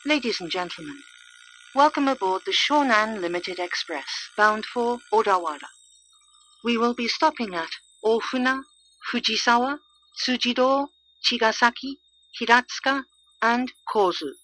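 A woman reading a formal scripted train announcement, measured and clearly articulated, over onboard PA speakers. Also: fairly high pitch, pushed and bright, soft background music, low-quality recording. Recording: train PA recording with hum and noise.

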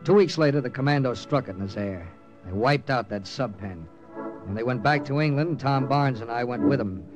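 A man's voice: medium pitch, expressive, moderate pace, highs cut off, natural voice. An actor playing a tough, terse wartime secret agent captain in a clipped, dramatic 1940s radio style, narrating his mission. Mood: steady. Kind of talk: 1940s radio acting, hard-boiled first-person narration. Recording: old radio recording with music.

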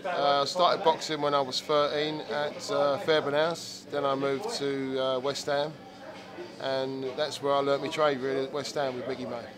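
Boxing coach in his 50s, flat monotone, crowd noise around. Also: medium pitch, normal speed. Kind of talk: casual interview.

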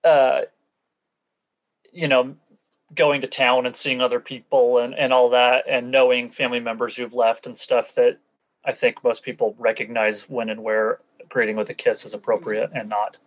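A man's voice: mid-range voice, little pitch movement, normal speed, poor audio. Matter-of-fact man explaining in conversation. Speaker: interview guest, former church member.

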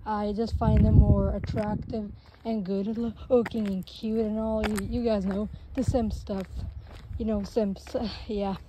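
A woman's voice: mid-range voice, little pitch movement, unhurried, background noise. A young woman chatting casually in a vlog as she walks outdoors, rambling and restarting often. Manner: hesitant, rambling, with many restarts. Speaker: vlogger. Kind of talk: casual walking vlog chat. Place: outdoors on a sunny paved path. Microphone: phone held while walking.

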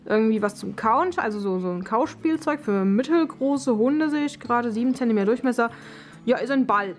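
A woman's voice: fairly high pitch, animated, a little fast. A young woman, talking casually and thinking aloud as she reviews products in an unboxing vlog. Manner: chatty, colloquial, thinking aloud. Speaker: dog owner and vlogger presenting an unboxing. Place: living room, indoors. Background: music in the background.

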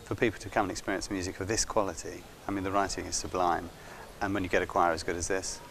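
A man's voice: sits low, little pitch movement, quick pace, fairly bright tone. A man giving an interview answer as a visitor. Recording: crowd murmur behind.

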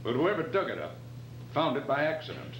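An older man playing a composed, shrewd private detective in a radio play, reading in a measured, questioning way. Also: on the high side, very animated. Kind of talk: radio play read from script. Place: function room, live staged reading. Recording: roomy, distant mic, noisy humming tape.

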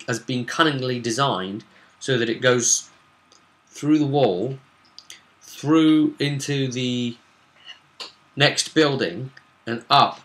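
A young man giving a casual, unscripted recap as a game master, speaking in a halting, rambling way. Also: medium pitch, a bit bright. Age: young adult. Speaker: game master recapping a tabletop role-play session. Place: small home room, indoors.

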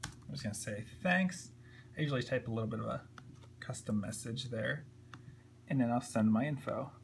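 Male hobbyist demonstrator, mid-pitched with vocal fry, offhand unscripted delivery, faint room noise. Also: normal speed. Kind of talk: casual unscripted tutorial narration. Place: indoors at a computer desk. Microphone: handheld camera, a little off the screen. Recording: faint background hum and noise.

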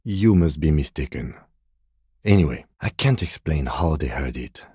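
A man playing a calm, dignified middle-aged police lieutenant in a video game, speaking in a measured, precise, deadpan way. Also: a bass voice, husky, no echo, studio quality, exaggerated voice. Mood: measured, matter-of-fact. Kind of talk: video game character dialogue.

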